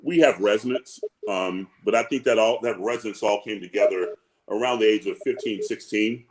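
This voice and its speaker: A man who sings low parts, chatting in an animated, joking way over a video call. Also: moderate pace, low-quality recording. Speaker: opera singer, bass-baritone. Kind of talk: casual panel chat.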